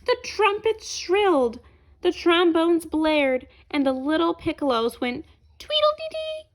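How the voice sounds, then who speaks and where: A woman reading a children's picture book aloud, with expressive, deliberate phrasing aimed at young listeners. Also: very high-pitched. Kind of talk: children's read-aloud narration.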